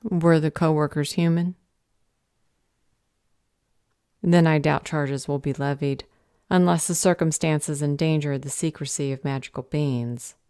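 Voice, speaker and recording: Woman acting a stern, low-voiced magical official. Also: moderate pace, clear, resonant tone, no echo, studio quality, close to an everyday voice. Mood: brisk questioning. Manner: deadpan, clipped, bureaucratic. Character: stern, bored, sarcastic female magical council official. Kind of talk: fantasy roleplay audio drama acting.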